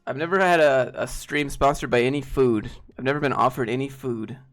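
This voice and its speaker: Soft-voiced man chatting casually on stream. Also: mid-range voice, a little fast. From Southern United States. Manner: relaxed, rambling, laughs often, many fillers. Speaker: streamer and host. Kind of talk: casual live-stream chat.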